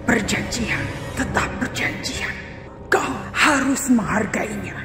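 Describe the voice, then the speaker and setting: Rat Queen spirit, slow and echoing. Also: a little high, very animated. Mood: menacing. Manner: slow, drawn-out, threatening. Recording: echoey with music behind.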